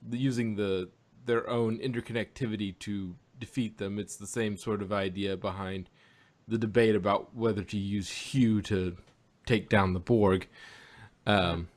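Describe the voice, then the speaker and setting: A man hosting a casual review podcast, talking in a halting way and circling back over his own phrases. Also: on the low side, fairly even intonation. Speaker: podcast host reviewing audio drama. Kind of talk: casual chat about sci-fi.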